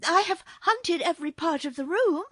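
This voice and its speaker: A woman's voice: sing-song, unhurried, fairly bright tone, no room sound, clean studio sound, full character voice. A woman voicing a troubled, deferential young palace maid in a storybook, reporting earnestly. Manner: earnest, high-pitched reporting. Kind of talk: storybook character voice.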